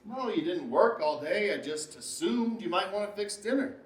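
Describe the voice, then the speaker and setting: A man's voice: high-pitched, expressive, normal speed. A man acting a needling, self-absorbed husband in a naturalistic relationship drama, with emphatic delivery. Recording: echoey, distant mic, background noise.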